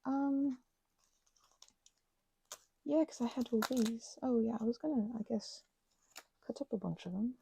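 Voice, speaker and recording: A woman talks her way through a crafting session at home, her voice mellow with a gravelly edge. She drifts from hushed whispers to animated, higher bursts and pokes fun at herself. A faint hiss sits under the recording. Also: unhurried, long pauses, rough recording. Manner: chatty, self-deprecating, drifting between whispers and bursts of talk. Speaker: crafting ASMR creator. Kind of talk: rambling craft chat while working.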